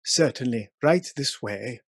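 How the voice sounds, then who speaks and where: A man's voice: medium pitch, animated, a little slow, a little hiss or hum. A man playing a formal, deferential servant of an Edwardian household in a radio play.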